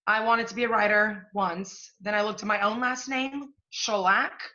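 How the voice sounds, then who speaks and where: A woman's voice: mid-range voice, fairly even intonation, normal speed, bright, forceful tone, dull audio, lightly acted. A woman playing a brash, impatient literary agent in a stage play, blunt, profane and domineering. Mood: cynical, emphatic. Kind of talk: one-act stage play dialogue.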